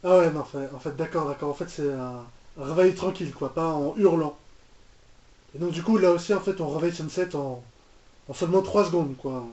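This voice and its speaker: A man gives off-the-cuff reaction commentary in a soft, mellow voice. He hesitates and often repeats himself. The sound is roomy and a little dull, like a lo-fi home microphone. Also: on the high side, a little fast. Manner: hesitant, repeats words and trails off. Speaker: reaction video host. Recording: echoey room, muffled lo-fi microphone.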